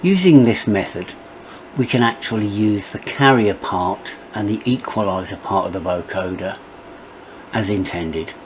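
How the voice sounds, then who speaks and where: A middle-aged man narrating a step-by-step software tutorial as its presenter. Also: fairly low pitch, a little slow, noisy background.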